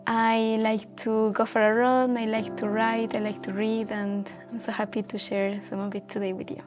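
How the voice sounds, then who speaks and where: A woman's voice: fairly high pitch, level tone, moderate pace, over music. Earnest young woman. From Ecuador. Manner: earnest, reflective, with frequent fillers like "like" and "um". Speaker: guest. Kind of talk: personal testimony in a casual chat.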